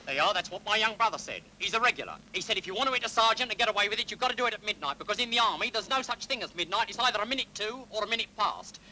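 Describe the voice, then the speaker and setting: An actor playing a chatty, grumbling young British army recruit in a 1940s film. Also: male voice, high voice, lively intonation, very fast, few pauses, pushed and bright, a little hiss or hum, full character voice, low-quality recording. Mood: gossiping.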